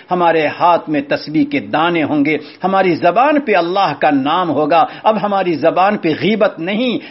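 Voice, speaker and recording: A man with a notably high voice preaches with fervor, building his points through insistent repetition and chanted refrains. The recording is muffled and lo-fi. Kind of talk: sermon. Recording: dull lo-fi recording.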